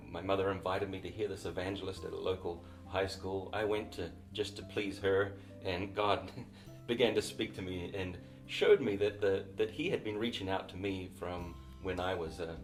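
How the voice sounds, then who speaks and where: A middle-aged man from New Zealand with a New Zealand accent, a missionary and author telling his story in an interview, reflective and unhurried with small restarts. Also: low-pitched.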